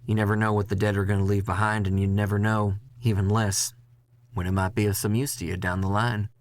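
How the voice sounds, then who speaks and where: A man reading a dramatic first-person horror story in a measured, intense way, with music behind him. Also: on the low side, little pitch movement.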